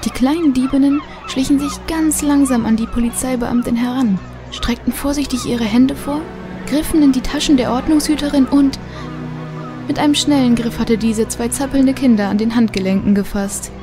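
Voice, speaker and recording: A woman's voice: high-pitched, moderate pace, over music, close to an everyday voice. A woman narrating a children's mystery audio drama in a scripted, suspenseful storytelling manner. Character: female storyteller of a children's mystery radio play.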